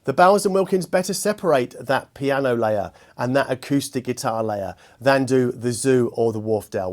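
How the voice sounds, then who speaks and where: A man hosting a product review, speaking to viewers in a measured, articulate and precise way. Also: medium pitch, darkish tone. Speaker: host and audio equipment reviewer.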